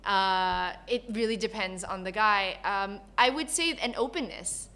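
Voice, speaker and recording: A woman from Malaysia who grew up in Canada, talking casually as a podcast guest, laughing often and telling stories in an animated way. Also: medium pitch, a little slow. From Malaysia, raised in Canada. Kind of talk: casual interview chat.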